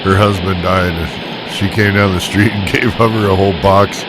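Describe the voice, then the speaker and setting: A man's voice: deep voice, steady tone, moderate pace, over loud music, poor audio. A man chatting and telling stories casually and wryly over CB radio. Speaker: CB radio operator. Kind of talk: casual chat, storytelling. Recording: CB radio audio with background sound.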